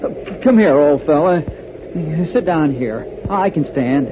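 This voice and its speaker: Earnest boyish radio hero. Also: male voice, fairly high pitch, expressive, unhurried. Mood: friendly, candid. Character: eager, earnest boyish young hero, male. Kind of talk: 1940s radio acting. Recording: muffled 1940s radio recording, faint noise.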